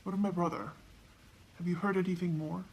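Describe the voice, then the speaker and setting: Woman putting on a measured, troubled storybook king. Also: lowish voice, fairly even intonation, darkish tone, a little hiss or hum, lightly acted. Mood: concerned. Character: kindly, troubled king, a father. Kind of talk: storybook character dialogue.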